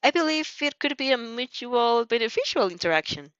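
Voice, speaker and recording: Casting: excitable teen anime heroine, acted by a woman, earnest, gushing, lively pitch swings, muffled close dry recording. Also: brisk, dry recording. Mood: formal, eager. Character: earnest, excitable teenage heroine, polite and teasing.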